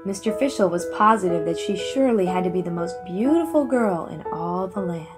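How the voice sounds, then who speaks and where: A woman narrating a children's fairy tale in an expressive, deliberate storybook manner. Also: on the low side, music in the background.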